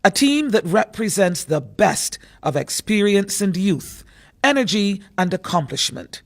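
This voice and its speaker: A man's voice: high voice, very animated, unhurried, creaky. A man reading scripted narration for a political campaign. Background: music.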